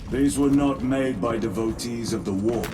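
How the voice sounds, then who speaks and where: An actor playing a stern, resolute veteran space marine lieutenant in a video game, speaking in grave, terse, formal lines. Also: male voice, mid-range voice, normal speed, background noise, lightly acted. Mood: grim. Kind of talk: video game cutscene acting.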